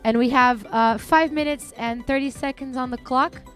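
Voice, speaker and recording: Woman event caller, slightly high voice, chatty and upbeat, light music behind. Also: fairly even intonation, normal speed, a bit bright. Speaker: event commentator and interviewer. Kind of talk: live sports commentary and interviews. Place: outdoor beach event.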